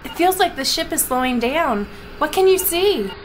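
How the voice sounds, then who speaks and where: An actress playing a hysterical, gullible, whiny damsel sidekick in a campy retro sci-fi audio drama. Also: female voice, high-pitched, animated, moderate pace, slight background noise. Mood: pleading.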